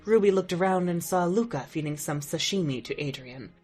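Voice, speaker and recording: A woman's voice: fairly low pitch, expressive, brisk, a little mellow, husky, soft background music. A woman narrating parts of a fan audio drama in a dry, matter-of-fact way.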